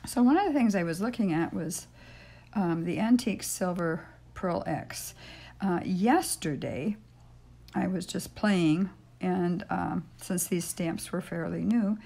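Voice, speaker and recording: An older woman with a raspy voice hosting a casual polymer clay tutorial from her home craft room, chatting and thinking aloud with frequent ums as she works, recorded on a fixed camera mic. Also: on the low side, measured pace, mellow. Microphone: stationary camera mic.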